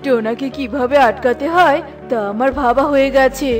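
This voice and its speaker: A woman's voice: high voice, fairly even intonation, moderate pace, soft background music, lightly acted. A woman voicing a scheming, greedy male crow who sells grapes, talking in a menacing, cackling way. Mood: sly.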